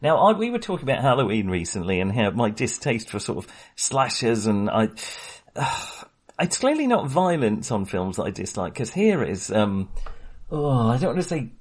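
A man's voice: medium pitch, sing-song, normal speed, breathy edge. A man chatting casually about a film, rambling with many hesitations and frequent chuckles. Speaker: podcast host.

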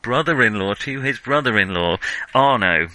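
A male podcast host with a very bright, sharp-edged voice, recorded dry and close. He talks casually about films in a wry, self-deprecating, rambling way, feeling for his words as he goes. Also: medium pitch, lively intonation, normal speed, no echo. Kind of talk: casual film discussion.

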